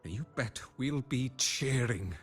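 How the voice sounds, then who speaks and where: A man's voice: medium pitch, expressive, slow, a bit bright, breathy edge, full character voice. A man voicing a jovial, encouraging villager in a video game. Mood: hearty, fond. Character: jovial, encouraging male villager, proud well-wisher.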